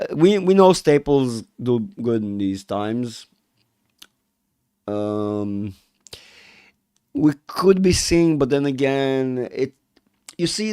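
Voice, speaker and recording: A man hosting a live market chat with viewers, rambling and restarting sentences, his voice rough from a cold. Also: medium pitch, darkish tone, dry recording, clean studio sound. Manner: rambling, self-interrupting, reads chat aloud. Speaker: market analysis livestream host. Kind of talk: casual livestream chat with viewers.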